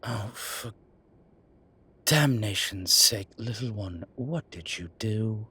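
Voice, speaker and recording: A man's voice: on the low side, lively intonation, takes it slowly, bright, forceful tone, slightly rough, full character voice. A man playing an exasperated, protective male vampire in an ASMR roleplay drama, scolding and sardonic. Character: exasperated, protective male vampire, dry-witted and world-weary. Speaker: voice actor.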